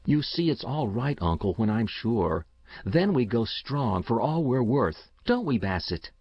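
A man's voice: mid-range voice, sing-song, unhurried, slightly rough, no echo, muffled recording, exaggerated voice. A man reading aloud, voicing an eager, anxious young boy who is secretive and intense. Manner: earnest, pleading.

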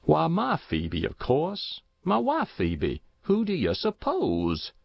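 A man's voice: fairly high pitch, wildly swooping pitch, slow, vocal fry, dry recording, pitched above the performer's own voice, heavily acted. A man voicing a thin, confused old farmer, eager and lost, with old rural speech. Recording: dull muffled dry recording.

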